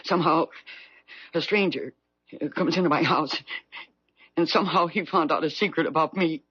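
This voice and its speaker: A woman's voice: sits low, moderate pace, a bit bright, slightly rough, dry recording. Guilt-ridden, overwrought aging woman, dull sound. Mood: bewildered, fearful. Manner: overwrought, theatrical. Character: remorseful, desperate aging woman haunted by guilt. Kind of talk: 1970s radio drama acting. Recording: dull vintage radio broadcast recording.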